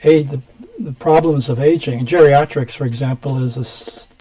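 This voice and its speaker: Mellow-voiced man hosting a TV interview. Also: medium pitch, normal speed, rough recording. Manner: rambling questions, frequent mm-hmms. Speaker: TV show host. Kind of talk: studio interview. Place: TV studio.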